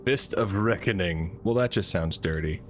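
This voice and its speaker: A man with a naturally deep voice chatting casually while playing a game, rambling and laughing at himself. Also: normal speed, a little hiss or hum. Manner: rambling, self-amused, laughs often. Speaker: game streamer. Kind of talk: casual gaming commentary.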